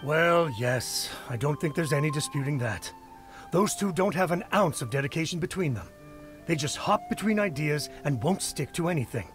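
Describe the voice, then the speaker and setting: A man's voice: fairly high pitch, lively intonation, normal speed, over music, lightly acted. A man voicing a gossipy village man in a video game, talking in a matter-of-fact and mildly scornful way. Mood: disapproving.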